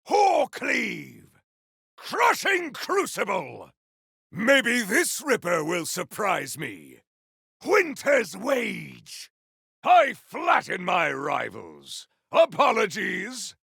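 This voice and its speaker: Game hero: grandiose, vengeful elemental warrior, very gravelly and forceful, theatrical archaic combat lines. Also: male voice, high voice, huge pitch swings, drawn-out words, dry recording, studio quality, full character voice. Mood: wry, taunting. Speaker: voice actor for a video game character. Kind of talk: video game combat barks.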